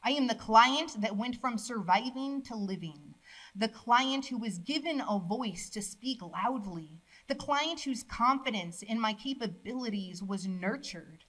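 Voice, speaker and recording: A woman's voice: a little high, lively intonation, measured pace, fairly bright tone, rough recording. A woman at a college event reading her poems aloud in a declamatory, rising voice, with casual remarks to the audience in between. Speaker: poet reading her own work. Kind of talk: spoken-word poetry reading with asides.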